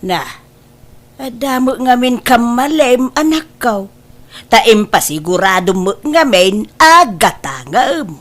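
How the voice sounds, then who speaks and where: A woman's voice: a little high, animated, takes it slowly. A woman with an Ilocano accent playing a patient, advising mother in a comedy radio-style drama, coaxing and admonishing.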